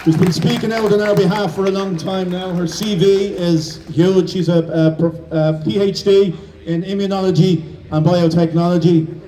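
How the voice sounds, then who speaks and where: A man's voice: sits high, steady tone, moderate pace, far from the mic, crowd noise, background noise. An Irish-accented man speaking as master of ceremonies, giving a declamatory public address with some hesitations. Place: outdoors in front of a building.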